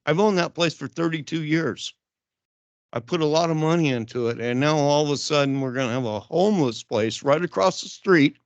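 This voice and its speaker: A man's voice: on the high side, a little fast, dry recording. Blunt, aggrieved older man. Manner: aggrieved, blunt, rambling complaint. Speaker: resident speaking at public comment. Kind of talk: public comment at a council meeting. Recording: dull lo-fi council chamber mic.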